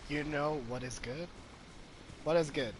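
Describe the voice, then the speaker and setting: A man's voice: fairly high pitch, measured pace. A man giving casual gameplay commentary, rambling and thinking aloud as he plays. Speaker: gaming streamer. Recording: noisy mic with game sound.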